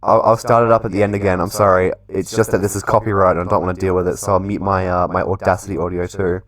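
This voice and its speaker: A man's voice: low voice, level tone, fast. A man doing casual, chatty let's play commentary in a playful way, reading game text aloud as he goes. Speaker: gaming channel host.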